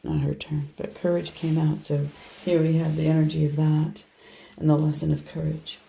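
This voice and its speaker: A hoarse woman chatting through a tarot card reading, soft and meandering as she shuffles and lays out cards. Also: low-pitched, flat delivery, moderate pace, slight background noise, rough recording. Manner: soft, meandering, half to herself while handling cards. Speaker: tarot reader. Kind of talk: casual tarot reading monologue.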